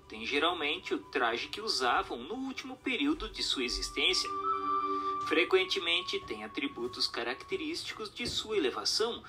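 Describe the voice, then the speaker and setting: A man reading a formal text as scripted narration in a measured, didactic manner. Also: on the high side, bright, forceful tone. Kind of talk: scripted audiobook-style narration of a spiritist text. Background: music.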